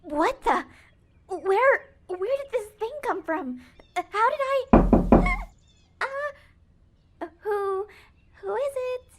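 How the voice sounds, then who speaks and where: Woman acting a high, stammering, anxious girl. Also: animated, slow pace, pauses often, background noise, full character voice. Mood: confused, alarmed. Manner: stammering, hesitant. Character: flustered, anxious, awkward young girl. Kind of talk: audio drama acting.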